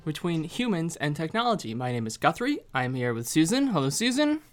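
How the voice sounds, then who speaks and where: An American man hosting a podcast, chatting casually in a rambling, halting way with many restarts. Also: fairly high pitch, sing-song, soft background music. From United States. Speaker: podcast host.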